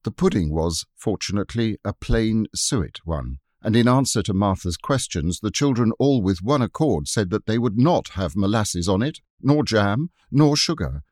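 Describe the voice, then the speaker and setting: A man narrating a classic children's book, expressive and wryly amused, read into a close studio mic. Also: lowish voice, normal speed, hardly any pauses, no echo. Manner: expressive, wry. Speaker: audiobook narrator. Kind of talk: storybook narration.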